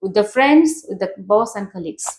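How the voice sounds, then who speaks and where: A woman's voice: mid-range voice, expressive, moderate pace, reverberant. A woman hosting an interview, chatty and affirming, with loose, non-native grammar. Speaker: host. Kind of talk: conversational interview.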